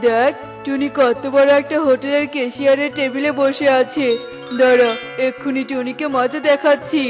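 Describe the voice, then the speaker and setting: Man as a high, monotone, suspicious cartoon bird. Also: moderate pace, over music. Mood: accusing. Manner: accusing, grumbling. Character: rude, suspicious, envious bird neighbour. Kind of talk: children's cartoon dialogue.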